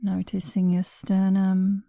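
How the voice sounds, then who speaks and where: A woman meditation instructor guiding a relaxation session in a soothing, unhurried way, leaving long pauses between short phrases. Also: medium pitch, monotone, vocal fry, mellow, no echo, studio quality. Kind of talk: guided meditation, scripted narration.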